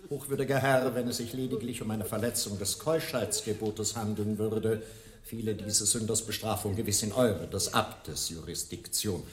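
A man plays a menacing medieval inquisitor in a radio drama. He is pompous and sanctimonious, sneering theatrically at sinners, his mid-pitched voice ringing in an echoing space. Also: close to an everyday voice. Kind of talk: German radio drama acting.

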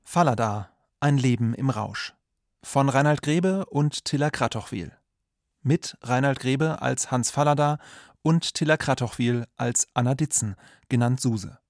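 A man's voice: medium pitch, a little slow, no echo, clean studio sound. A man announcing scripted radio drama credits as a broadcast announcer.

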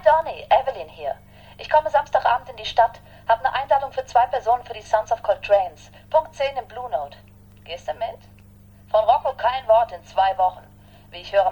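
An actress playing a breezy, gossipy friend leaving a chatty answering machine message in a radio play. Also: female voice, fairly high pitch, expressive, a little fast, dark tone, husky, low-quality recording. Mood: breezy, catty.

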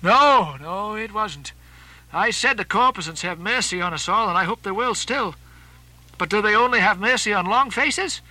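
A man voicing a hearty, blustering sailor in an audiobook, loud and emphatic. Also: sits high, lively intonation, normal speed, no room sound, voice pushed up, exaggerated voice, low-quality recording. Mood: stubborn optimism. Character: hearty, blustering, superstitiously cheerful sailor, second mate. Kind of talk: audiobook character acting.

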